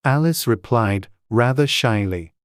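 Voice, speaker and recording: A narrator reading a classic storybook in a measured, deliberate way. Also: medium pitch, lively intonation, clean tone, dry recording, studio quality. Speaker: audiobook narrator.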